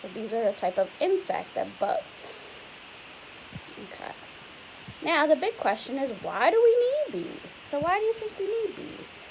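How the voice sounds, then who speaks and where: A young woman reads a picture book aloud in a lively, rising-and-falling voice that sits a bit high. She explains things in a chatty way and keeps asking the children questions. A faint hiss runs under the recording. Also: measured pace, low-quality recording. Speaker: teacher reading aloud. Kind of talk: read-aloud lesson for children.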